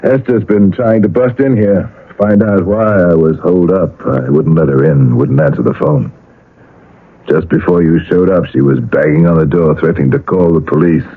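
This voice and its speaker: A weary, brooding painter speaks in a very deep, husky voice. His phrases come haltingly, with a desperate edge. It is 1970s radio drama with a thin, band-limited old broadcast sound. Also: narrow, tinny audio. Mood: agitated, suspicious. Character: weary, desperate male painter, middle-aged or older, brooding.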